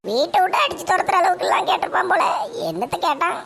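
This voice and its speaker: A woman's voice: very high-pitched, expressive, very fast, creaky, very noisy, heavily acted. A woman voicing a nosy, bickering old grandmother, fussing and scolding. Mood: grumbling. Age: older.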